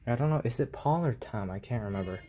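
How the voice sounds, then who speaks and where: A man giving an informal tech tutorial in a halting, explanatory way, recorded on a handheld phone in a room at home. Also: medium pitch, animated, dark tone. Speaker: hobbyist video host. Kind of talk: casual tech tutorial vlog. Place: small indoor room at home.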